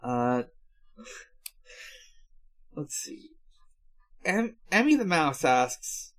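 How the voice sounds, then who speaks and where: A man's voice: on the high side, sing-song, extremely slow, a bit bright. A man in his twenties in casual podcast chat, hesitant and thinking aloud as he goes. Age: 20s. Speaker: podcast co-host.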